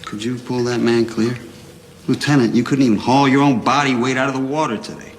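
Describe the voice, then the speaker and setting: An actor playing a tough, exacting military instructor in a film drama, blunt and commanding. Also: male voice, mid-range voice, fairly even intonation, fairly quick, a little hiss or hum, lightly acted. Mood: stern, challenging.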